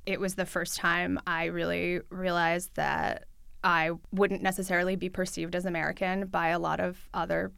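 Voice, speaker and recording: Gravelly, chatty woman. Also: on the low side, flat delivery, normal speed, fairly bright tone, no echo, clean studio sound. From New York City. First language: Russian. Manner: chatty, many fillers like "like" and "I think". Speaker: journalist guest. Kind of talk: casual interview talk.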